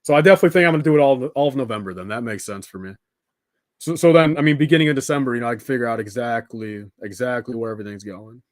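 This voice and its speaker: A man's voice: mid-range voice, racing, dry recording, clean studio sound. A young adult man chatting casually and informally on a livestream, rambling along and often saying dude and man. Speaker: live streamer hosting a building stream. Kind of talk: casual unscripted livestream chat with viewers.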